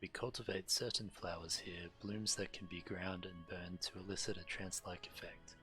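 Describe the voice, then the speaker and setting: Deep-voiced male game streamer reading aloud. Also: steady tone, moderate pace, a bit bright. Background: game music.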